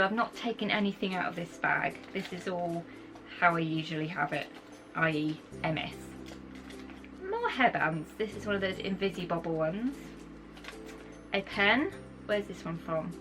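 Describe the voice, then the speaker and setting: A young British woman, croaky from a cold, chatting enthusiastically as she shows off her things to camera. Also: on the low side, measured pace, pauses often, low-quality recording. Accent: British English. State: croaky from a cold, sore throat. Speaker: vlogger. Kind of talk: casual chat to camera. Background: background music.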